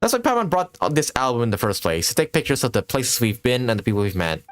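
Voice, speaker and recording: A man voicing a small, chirpy, sentimental female fairy companion from a video game, excitable and talking about herself in the third person. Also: medium pitch, fast talker. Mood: earnest, nostalgic. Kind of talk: reading video game dialogue in character.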